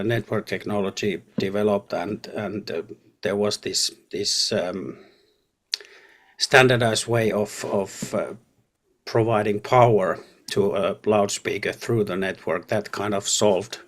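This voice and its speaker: A man answering interview questions as an audio product specialist, speaking haltingly and often repeating words. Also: on the low side.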